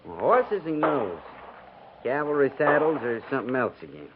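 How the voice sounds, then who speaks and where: Man playing folksy, lively old-West army sergeant. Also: mid-range voice, narrow, tinny audio, natural voice. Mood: wry, admiring. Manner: rustic, drawn-out, colloquial. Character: folksy frontier army sergeant, plain-spoken and wry. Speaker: radio drama actor. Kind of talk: 1950s radio western acting. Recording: noisy, thin 1950s radio recording.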